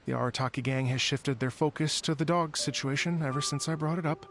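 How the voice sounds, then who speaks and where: A man's voice: fairly high pitch, steady tone, brisk, close to an everyday voice. A man playing an earnest, courteous and slightly hesitant festival organizer in a video game. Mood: courteous, explanatory. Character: earnest polite gentleman music festival organizer.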